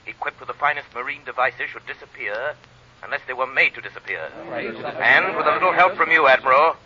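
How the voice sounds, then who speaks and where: An actor in a vintage radio drama playing a calm, invisible male crime fighter with a formal, authoritative, measured manner. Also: mid-range voice, crowd noise, close to an everyday voice. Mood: calm authority. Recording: muffled, noisy old radio recording.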